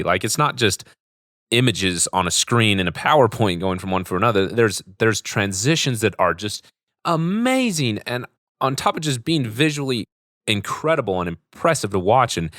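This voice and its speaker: A man's voice: fairly low pitch, fairly bright tone, no room sound, clean studio sound. A man with a cold hosting a casual solo podcast, rambling and gushing enthusiastically, often repeating himself. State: sick with a cold. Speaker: podcast host.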